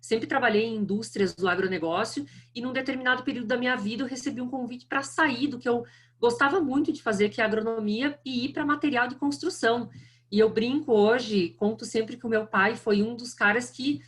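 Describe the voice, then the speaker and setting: A woman's voice: on the high side, brisk. A middle-aged woman with a strong southern Brazilian accent, giving a friendly, chatty guest lecture over a video call. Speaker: guest speaker. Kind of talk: online lecture.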